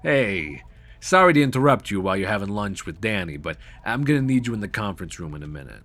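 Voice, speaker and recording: A man playing a pragmatic, pushy mercenary company boss in an audio drama, with a husky voice and a brusque, businesslike manner. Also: on the low side, big pitch swings, fast, a bit bright, exaggerated voice. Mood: firm. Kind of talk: cinematic audio roleplay acting.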